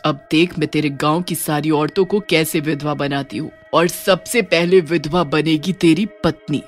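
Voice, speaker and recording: A man's voice: on the high side, fairly even intonation, moderate pace, natural voice. A man voicing a vengeful, menacing female witch with a heavy voice in a horror story, music behind. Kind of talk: animated horror story dialogue.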